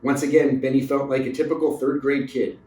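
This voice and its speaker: A man's voice: medium pitch, flat delivery, a little fast, mellow. A man reading a children's story aloud as a narrator, recorded in a roomy space away from the mic. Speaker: storybook reader. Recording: roomy echo, distant mic.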